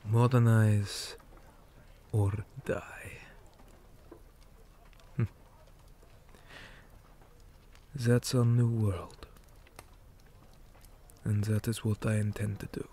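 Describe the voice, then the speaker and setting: A man performs a stern, war-weary orc commander for an ASMR roleplay, his low voice dark and very slow. He speaks in a hushed, measured way, as a dutiful soldier, with faint noise behind him. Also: level tone. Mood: somber, resolute. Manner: slow, deliberate, hushed, often dropping to a whisper. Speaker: interrogator in a roleplay.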